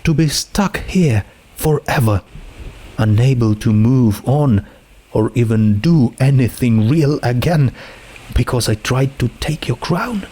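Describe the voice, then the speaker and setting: Anxious teen girl, read by a man, storybook drama; unhurried, halting, emotionally exposed. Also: mid-range voice, expressive, mellow, voice pushed up. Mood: fearful, pleading. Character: troubled, anxious teenage girl confiding a secret. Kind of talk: dramatic fan fiction reading.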